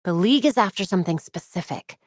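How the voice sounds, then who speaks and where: A woman acting as a young American vigilante detective in a video game, determined and caring. Her voice sits at a medium pitch with a focused, wry, conversational delivery. The sound is dry and close. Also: expressive, fast talker, dry recording, lightly acted. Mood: focused, businesslike.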